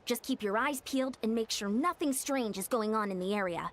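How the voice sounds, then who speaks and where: A woman's voice: on the high side, a little fast, slight background noise, lightly acted. A woman voicing a small, diligent young coach in an anime game, polite and instructive. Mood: earnest. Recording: music behind the voice.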